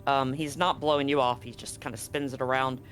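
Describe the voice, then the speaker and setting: A man's voice: medium pitch, level tone, fairly quick, fairly bright tone. A man narrating a live tabletop game as game master, conversational and explanatory. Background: background music.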